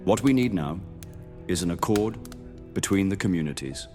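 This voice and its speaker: A man acts out a monster-hunting knight with a deep voice, welcoming and earnest. His speech is formal and old-fashioned, as in a fantasy radio play. It rings in a long reverb over a music bed. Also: expressive, normal speed. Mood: earnest, solemn. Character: welcoming, earnest male knight monster hunter, guild guide. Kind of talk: immersive fantasy roleplay audio drama acting.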